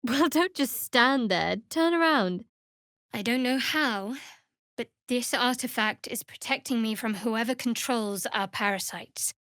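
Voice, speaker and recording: Guarded dark cleric for a fantasy game: higher-pitched, wry and measured, close dry recording. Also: female voice, no echo. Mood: guarded, insistent. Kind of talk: fantasy video game voice acting.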